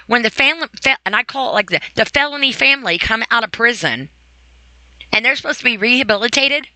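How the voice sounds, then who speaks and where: Bright-voiced woman podcaster, close and dry. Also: on the high side, no room sound, low-quality recording. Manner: emphatic, repeats phrases for stress. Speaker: podcast host. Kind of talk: informal opinionated podcast monologue.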